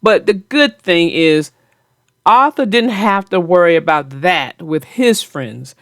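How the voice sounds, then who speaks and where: A woman's voice: on the low side, lively intonation, takes it slowly, no echo, clean studio sound. A woman hosting a children's story show, chatting in a folksy, playful, teasing way. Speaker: host and storybook narrator. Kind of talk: children's read-aloud and casual chat about the story.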